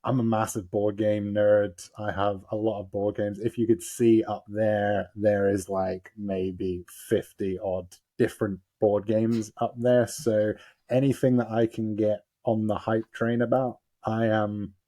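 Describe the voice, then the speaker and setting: A man hosting a casual podcast chat in a relaxed way, with chuckling asides. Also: lowish voice, measured pace. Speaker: podcast host.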